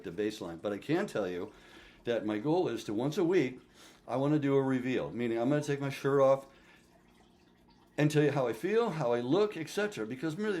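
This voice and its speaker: Sixty-year-old man, casual profane vlogger, mid-pitched voice, lively swings in emphasis, frequent "okay?" asides. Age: 60s. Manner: blunt, profane, animated, frequent "okay?" check-ins. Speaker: fitness vlogger. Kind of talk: casual vlog to camera.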